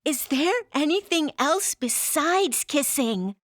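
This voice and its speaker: A woman's voice: high voice, animated, slow pace, no echo, studio quality. A woman playing a naive, curious young scientist's daughter with formal, literal-minded phrasing, in a radio sci-fi parody. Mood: earnest, intrigued. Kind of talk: old-time radio sci-fi parody acting.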